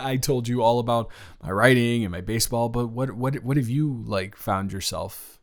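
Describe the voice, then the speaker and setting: A young man playing a flustered, tender college student talking intimately to a childhood crush in an improvised audio roleplay, stammering and halting. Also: mid-range voice, lightly acted. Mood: curious, teasing.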